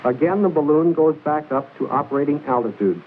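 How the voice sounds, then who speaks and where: Guy doing 40s army instructional film voiceover, measured and exact, slightly high voice, faint hiss on old recording. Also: animated, narrow, tinny audio. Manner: measured, precise, formal explaining. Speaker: training film narrator. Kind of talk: 1940s military training film narration, scripted and instructional. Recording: hissy band-limited 1940s film soundtrack.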